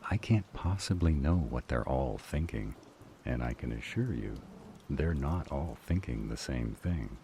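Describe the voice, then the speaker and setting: A man playing a stern, pedantic male demon in an audio drama, calm, measured and formal. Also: rumbling low, vocal fry, slight background noise, heavily acted. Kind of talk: dramatic audio roleplay acting.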